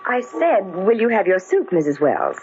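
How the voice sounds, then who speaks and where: An actress playing a dutiful, composed home nurse, formal and deferential, in an old-time radio drama. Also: female voice, mid-range voice, sing-song, normal speed, natural voice. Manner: formal, deferential, politely firm. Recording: dull, very noisy old radio recording.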